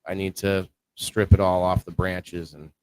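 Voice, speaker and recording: A man co-hosting a casual livestream about indoor growing, talking in a low-key, fairly monotone way. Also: unhurried, clear, resonant tone, poor audio. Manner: low-key, self-described monotone delivery. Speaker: co-host of a live-streamed indoor growing show.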